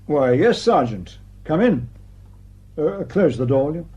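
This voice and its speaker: A man's voice: fairly high pitch, big pitch swings, unhurried, highs cut off, noisy background. A man acting a courteous, inquisitive police superintendent in a radio drama. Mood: probing.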